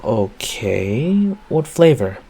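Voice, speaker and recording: Man acting as an affectionate boyfriend, lively intonation, slightly husky. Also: fairly low pitch, drawn-out words, full character voice. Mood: warm, casual. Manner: tender, casual, addresses listener directly. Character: affectionate easygoing boyfriend home from work on a snowy night. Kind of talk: intimate roleplay audio acting.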